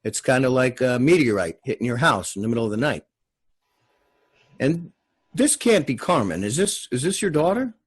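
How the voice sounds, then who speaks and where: A man's voice: medium pitch, very animated, normal speed, slightly rough. A man voicing a genial middle-aged small-town man in a television drama read, folksy, rambling and reflective. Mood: folksy, curious. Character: genial, hefty forty-four-year-old small-town man.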